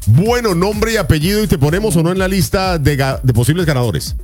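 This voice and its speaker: A man's voice: high-pitched, expressive, fairly quick, a little mellow, faint music behind. A man hosting a live morning radio call-in show, chatting and reading out announcements with a sing-song announcer delivery.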